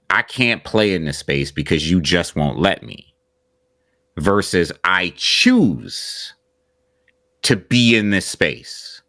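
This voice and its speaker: Very deep male co-host, deliberate and methodical, dry close sound. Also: fairly bright tone, no echo. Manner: measured and deliberate, builds his argument point by point. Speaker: podcast co-host. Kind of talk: casual podcast discussion.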